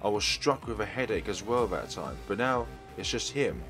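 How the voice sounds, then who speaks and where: A man with a lowish voice reads a visual novel's text aloud as a let's play host, rising and falling a lot in pitch. He breaks off now and then for casual asides, with soft game music playing behind him. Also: animated, moderate pace. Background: faint game music.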